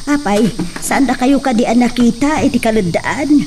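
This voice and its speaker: A woman's voice: on the high side, moderate pace, a little mellow, natural voice. A woman playing an anxious, fretful mother with a pleading manner and an Ilocano accent in a radio drama. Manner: pleading, exclaiming. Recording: distant mic, faint background noise.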